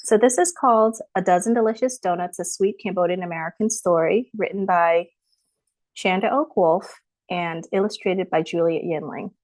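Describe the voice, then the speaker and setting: A woman's voice: fairly low pitch, moderate pace, no echo, rough recording. A woman author presenting her picture book and reading it aloud on a video call, speaking politely. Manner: polite, apologetic about screen sharing. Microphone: computer mic on a video call.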